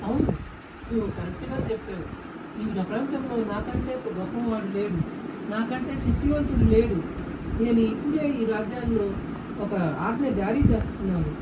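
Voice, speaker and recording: A woman's voice: a little high, moderate pace, natural voice. A woman playing a proud, commanding king in an amateur puppet show, declaiming orders imperiously. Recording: roomy, distant mic, loud background noise.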